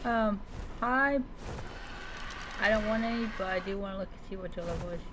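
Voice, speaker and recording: A woman's voice: medium pitch, normal speed, noisy background. A woman doing live in-character gameplay commentary, talking playfully to creatures with mock-heroic taunts. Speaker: game streamer playing a roleplay survival game.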